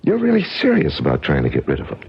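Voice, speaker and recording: An actor playing a streetwise, wary drifter in a 1970s radio drama, with a sardonic, slangy way of talking. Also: male voice, rumbling low, huge pitch swings, quick pace, creaky, a little hiss or hum, full character voice. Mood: hard, sardonic. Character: streetwise, wary, wisecracking male drifter drawn into crime. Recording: dull lo-fi old radio recording.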